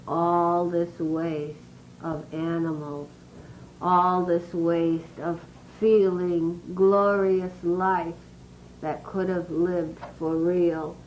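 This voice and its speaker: A low, flat voice of a sick animal resigned in its cage, as a woman gives it words. She speaks extremely slowly and gravely, each plea drawn out. There is faint background noise. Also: rough recording. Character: sick, hopeless caged animal.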